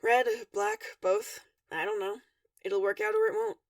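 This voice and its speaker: A woman's voice: very high voice, sing-song, unhurried, vocal fry, no room sound, clean studio sound, recorded from a distance, voice pushed up, full character voice. A woman voicing a cool, slangy, nonchalant young male troll in a story read aloud.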